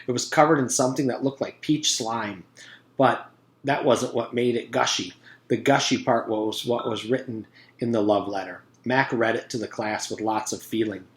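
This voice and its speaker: A man's voice: medium pitch, steady tone, moderate pace, reverberant. A man narrating a children's storybook aloud as a teacher would for pupils.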